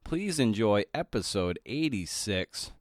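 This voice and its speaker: A man's voice: on the low side, big pitch swings, takes it slowly, no room sound, studio quality. A man hosting a podcast, moving between scripted introduction and interview questions. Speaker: podcast host.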